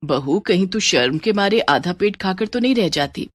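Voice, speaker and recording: A woman's voice: on the low side, expressive, moderate pace, no room sound, studio quality. A woman voicing a gracious, caring middle-aged mother-in-law in a cartoon story.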